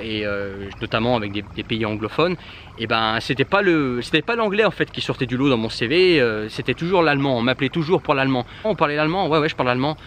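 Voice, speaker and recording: A man's voice: medium pitch, a bit bright, a little hiss or hum. A man whose first language is Germanic, giving casual language-learning advice straight to the viewer, with lots of fillers and restarts. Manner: informal, addresses the viewer directly, many fillers and restarts. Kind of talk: casual direct-to-camera advice.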